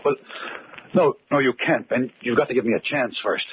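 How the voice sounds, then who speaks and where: An actor playing an accused amateur magician, theatrical, sardonic and urgent, in a 1940s radio mystery. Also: male voice, mid-range voice, normal speed, natural voice. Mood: defensive, urgent. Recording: tinny, noisy old radio recording.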